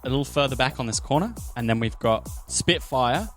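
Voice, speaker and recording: A man's voice: mid-range voice, expressive, brisk, fairly bright tone, soft background music. A man narrating a tabletop roleplaying game as game master, with descriptive, dramatic storytelling.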